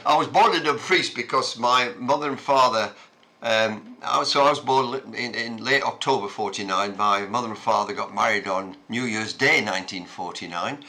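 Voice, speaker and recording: Man, 70s, low-pitched, very bright timbre, reflective storytelling, hesitant fillers. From Scotland. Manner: unhurried, reflective storytelling with frequent ums and uhs. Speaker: interviewee. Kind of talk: reminiscing conversation.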